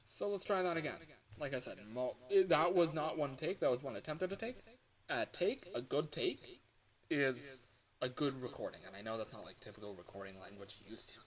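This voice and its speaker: A man chatting casually as a livestreamer, rambling and halting, often correcting himself and sometimes swearing. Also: medium pitch. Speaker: livestreamer recording voice lines.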